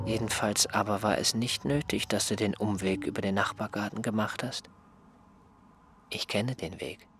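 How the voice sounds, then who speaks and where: A reproachful aging assistant. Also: male voice, lowish voice, fairly even intonation, moderate pace, fairly bright tone, slight background noise. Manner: reproachful, complaining, mildly mocking. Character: aggrieved male assistant, no longer young, whiny. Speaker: surveyor's assistant. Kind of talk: radio play acting.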